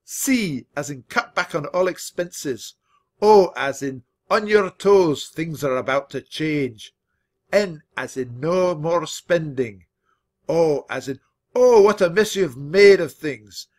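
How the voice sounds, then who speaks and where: A tough old miserly grandfather mouse, acted out by a man for a children's audiobook. He hectors and shouts in a lively, swooping, raised pitch, stretching key words for emphasis. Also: measured pace. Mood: hectoring.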